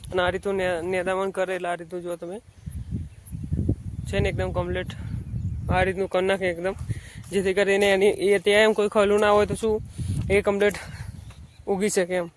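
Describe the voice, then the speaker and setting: A man's voice: sits high, little pitch movement, fairly quick, pauses often, noisy background. A man, chatting casually as he vlogs on a handheld phone out in an open field. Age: 20s. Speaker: farm vlogger. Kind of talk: casual vlog narration while walking. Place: open farm field outdoors. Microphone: handheld phone at arm's length.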